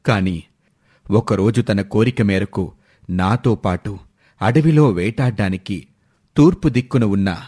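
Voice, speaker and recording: A man playing a stern, commanding king in an animated moral story. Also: fairly low pitch, measured pace, pauses often, slightly rough, soft background music. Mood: solemn, confiding. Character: stern, authoritative king and grieving father.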